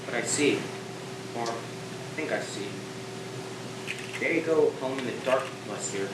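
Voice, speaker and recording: A young man on stage playing a brooding, wistful spaceship captain facing death, in halting, reflective monologue. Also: mid-range voice, lively intonation, natural voice. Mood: wistful, subdued. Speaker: student stage actor. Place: school theatre stage. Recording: echoey stage, distant mic, clear background noise.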